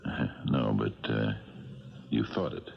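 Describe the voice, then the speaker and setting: Very deep, gravelly retired cop, old radio. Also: male voice, very noisy, exaggerated voice. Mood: earnest, hesitant. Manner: halting, deliberate, understated. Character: retired policeman, earnest, hesitant, worried and kindly. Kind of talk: 1970s radio drama acting with first-person narration. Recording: muffled old radio broadcast, music behind.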